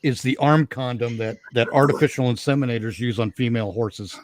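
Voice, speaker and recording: A man's voice: medium pitch, a little fast, no room sound. A man casually riffing as a livestream co-host, with a crude, joking manner.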